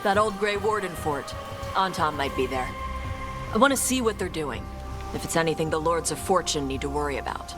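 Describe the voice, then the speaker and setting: A woman's voice: deep voice, animated, fairly quick. A woman acting a brash, proud dragon hunter in a video game, blunt and casual in her phrasing. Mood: matter-of-fact. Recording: loud game music behind.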